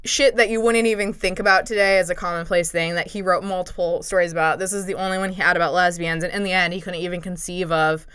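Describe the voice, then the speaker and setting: A woman's voice: on the low side, fairly even intonation, fast talker, few pauses, fairly bright tone, clear, resonant tone, no echo. A young woman presenting a topic on a casual chat podcast, chatty with lots of fillers and frequent laughter.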